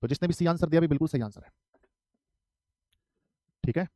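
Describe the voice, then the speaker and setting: A man's voice: on the high side, a little fast, darkish tone, no echo, studio quality. A man from Haryana teaching an online math class for exam prep, often asking questions and then answering them himself. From Haryana, India. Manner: repetitive, asks and answers his own questions.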